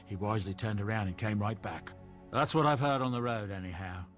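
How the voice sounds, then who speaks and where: A man's voice: lowish voice, a little fast, a bit bright, faint music behind, close to an everyday voice. A man voicing a genial middle-aged dwarf merchant in a fantasy game, with an obsequious salesman's courtesy. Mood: confiding, gossipy.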